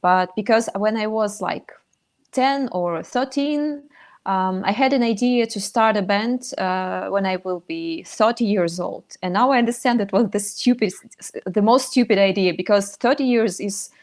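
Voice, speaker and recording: A young woman from northern Russia with a Russian accent, answering interview questions in a halting, thoughtful way with many fillers, over a video call. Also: medium pitch. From Sakha Republic, northern Russia. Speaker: singer in a metal band, guest.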